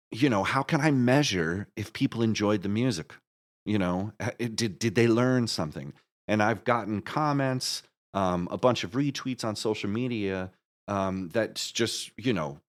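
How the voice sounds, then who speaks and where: A man answering questions as an interview guest in a casual, rambling way, often halting and interrupting himself. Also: lowish voice. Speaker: interview guest, marketer and musical creator.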